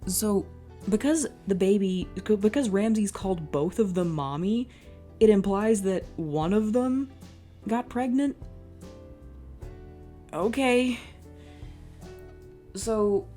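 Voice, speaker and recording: A woman's voice: medium pitch, animated, takes it slowly, pauses often, warm tone, faint music behind. A young woman reading a fan fiction aloud and breaking off into exasperated, sarcastic comments. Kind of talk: dramatic reading with comic asides.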